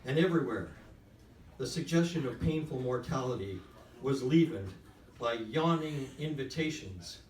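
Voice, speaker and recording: A man, an author at a live literary event, speaking with measured, emphatic phrasing. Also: medium pitch, animated, darkish tone. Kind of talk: literary reading, then frank talk. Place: whisky bar literary event. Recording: echoey room, distant from mic.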